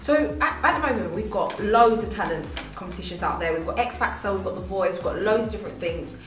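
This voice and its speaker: A woman's voice: mid-range voice, expressive, fast talker, fairly bright tone. A woman hosting an interview show, upbeat and encouraging, laughing often. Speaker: show host. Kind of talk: casual interview chat. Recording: echoey room, far from the mic, faint music.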